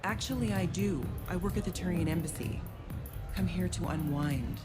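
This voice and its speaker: Game alien diplomat type played by a woman: low register, courteous, reserved, music underneath. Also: expressive, moderate pace, lightly acted. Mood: polite, put off. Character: polite, reserved alien woman embassy worker in bar. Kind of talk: video game voice acting.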